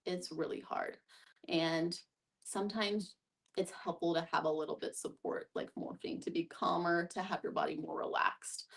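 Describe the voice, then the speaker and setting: A woman's voice: on the low side, gravelly. A woman speaking as a discussion facilitator, giving thoughtful, explanatory answers in a conversational way. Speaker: guest facilitator, hospice social worker. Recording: distant mic.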